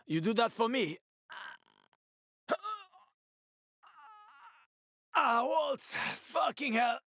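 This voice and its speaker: A man's voice: extremely high pitch, big pitch swings, unhurried, pushed and bright, full character voice. An actor playing a roguish, wisecracking male survivor in a video game, talking in a casual, teasing, sweary way. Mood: desperate, pained.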